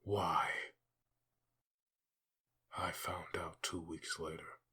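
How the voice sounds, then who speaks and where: A man voicing a grim, haunted undead assassin in a brooding comic dub monologue, with a raspy, gravelly growl. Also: sits low, little pitch movement, drawn-out words, pushed and bright, exaggerated voice. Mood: brooding, bitter.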